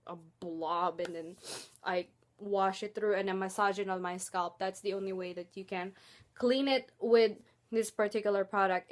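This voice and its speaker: A young woman casually talking through her hair care routine, chatty and rambling with lots of filler words. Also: medium pitch. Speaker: beauty vlogger.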